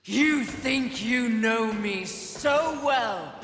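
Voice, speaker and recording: A woman's voice: on the high side, animated, very slow, fairly bright tone, slight background noise, full character voice. A woman playing a proud, fiery, imperious warrior horseman of the apocalypse in a video game. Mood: defiant, angry. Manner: imperious, combative. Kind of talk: dramatic video game voice acting. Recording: echoey reverberant hall, music behind.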